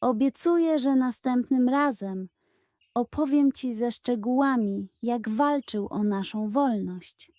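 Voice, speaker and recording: A woman's voice: a little high, slow, warm tone, no room sound, studio quality. A woman voicing a kind, proud old grandfather and former soldier in a children's storybook, speaking earnestly and tenderly. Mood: fond, explaining. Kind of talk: children's audio storybook character.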